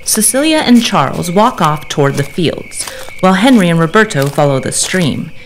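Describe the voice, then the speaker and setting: A storyteller for a Victorian gothic audio drama, voiced by a woman, low in pitch for a female voice and highly animated. She reads scripted narration over faint background ambience. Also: normal speed, a little hiss or hum, rough recording. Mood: suspenseful.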